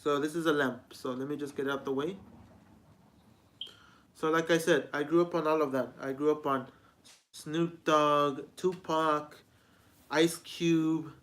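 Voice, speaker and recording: A man's voice: fairly high pitch, steady tone, a little slow, pauses often, a bit bright. A man in his twenties giving a rambling, repetitive personal vlog talk, often restarting his sentences as a music reviewer. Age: 20s.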